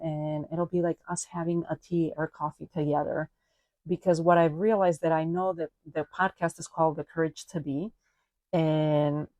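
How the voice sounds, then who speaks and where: A woman's voice: low-pitched, darkish tone, no echo, poor audio. A woman from Spain, probably in her forties, hosting a solo podcast and talking in a reflective, chatty way. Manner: reflective, chatty, frequent "you know" and "like". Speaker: podcast host. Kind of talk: personal solo podcast monologue.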